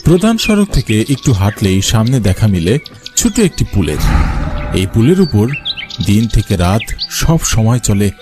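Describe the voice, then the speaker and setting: A man's voice: fairly low pitch, very animated, measured pace. A man narrating a scripted scary story for a cartoon. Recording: music and rain behind. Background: music and rain sounds.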